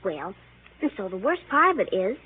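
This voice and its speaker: A woman's voice: medium pitch, very animated, normal speed, slightly rough. An actress playing an eager, chattering little girl in 1930s radio drama, telling tales in childish, insistent run-on sentences. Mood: important, insistent. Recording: tinny, hissy old 1930s radio recording.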